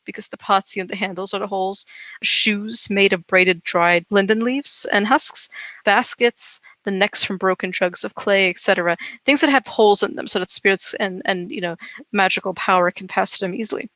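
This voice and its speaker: A podcast co-host whose first language is Serbo-Croatian talks in a loose, meandering way, leaning on fillers and tag questions. The voice is bright and forward. It sounds close and dry, with no room around it. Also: mid-range voice, no room sound. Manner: rambling, filler-heavy, frequent "you know" and "right?". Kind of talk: casual folklore podcast chat.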